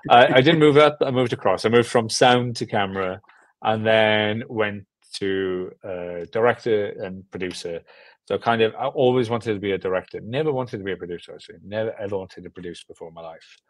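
Young man, British accent, lowish pitch, casual rambling guest, self-deprecating, dry video-call sound. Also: fairly quick, no echo. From Britain. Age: young adult. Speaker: guest filmmaker. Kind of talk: casual interview chat. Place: room at home, on a video call.

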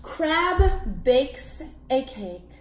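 A woman in her twenties reading a children's picture book aloud expressively, in a deliberate, animated way with questions for young listeners. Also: sits high. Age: 20s. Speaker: read-aloud storyteller for children. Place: small indoor room at a table. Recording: echoey room, background noise with clicks.

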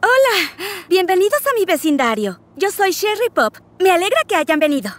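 A woman's voice: extremely high pitch, big pitch swings, moderate pace, a bit bright, full character voice. A woman voicing a friendly, playful female ice pop in a children's educational cartoon dub. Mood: cheerful, welcoming. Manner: welcoming, giggly, playful. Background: faint music.

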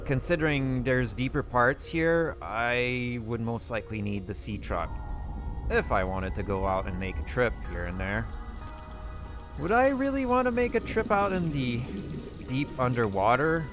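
A man's voice: medium pitch, lively intonation, a little slow, muffled recording, over music. A young man roleplaying through a survival game, musing aloud to himself with nervous outbursts. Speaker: gaming YouTuber roleplaying a character. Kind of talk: in-character gameplay commentary.

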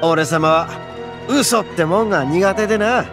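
An actor voicing a loud, brash young oni gang leader in a game, swaggering and rough-talking but big-hearted. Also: male voice, high-pitched, measured pace. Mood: serious. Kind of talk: game voice acting. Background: game music.

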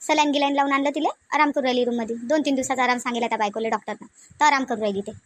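A woman's voice: sits high, steady tone, racing, lightly acted. A woman voicing a proud, scolding middle-aged mother-in-law in a village cartoon, nagging and sarcastic, with a Varhadi Marathi accent from Vidarbha. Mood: dismissive. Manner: sharp-tongued, nagging, sarcastic. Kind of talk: comic village family cartoon dialogue.

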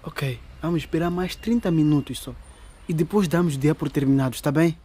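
An actor playing a young man on a stakeout who urges calm and patience. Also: on the high side, fairly quick, slightly rough. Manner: soothing, urging patience.

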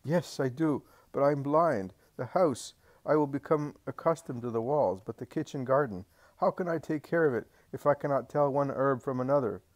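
A man's voice: mid-range voice, moderate pace, few pauses, dry recording, voice pushed up, lightly acted. A man voicing a poor, blind old village man who speaks in a trembling, pleading way. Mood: hopeful.

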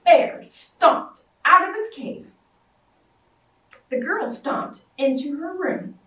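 Animated sing-song storytime woman. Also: high voice, slow pace, distant mic. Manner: animated, sing-song, acts out shouts and roars. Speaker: children's librarian. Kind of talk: storytime read-aloud for young children. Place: library storytime room with toys. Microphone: far camera. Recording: echoey room, voice from afar.

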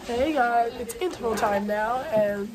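Young woman, fairly high voice, casual vlog chat, handheld camera, very noisy backstage crowd. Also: unhurried. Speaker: vlogger, pantomime performer. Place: busy backstage dressing rooms. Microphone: handheld camera close by. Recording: loud chatter of backstage crowd.

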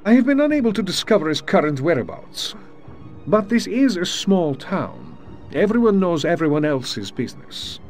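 An actor playing a sly KGB agent in a game, formal and poetic, with a Russian accent. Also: male voice, sits high, big pitch swings, moderate pace, soft background music. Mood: conspiratorial. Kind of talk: video game cutscene acting.

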